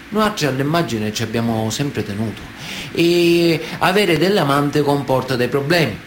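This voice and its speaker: A man's voice: medium pitch, a little hiss or hum. A man acting a Sicilian mafia man of honour in a radio theatre monologue, earnest and matter-of-fact, with a Sicilian accent.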